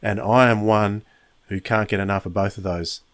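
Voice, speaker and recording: A man's voice: fairly low pitch, steady tone, normal speed, fairly bright tone. A man hosting a review podcast alone, talking casually like an enthusiastic fan. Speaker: podcast host.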